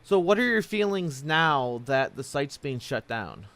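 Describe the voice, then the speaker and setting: Guy doing a casual interview, voice a bit on the high side, kinda rambly and informal. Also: sing-song, a little slow, a bit bright. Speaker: interviewer, talk show host.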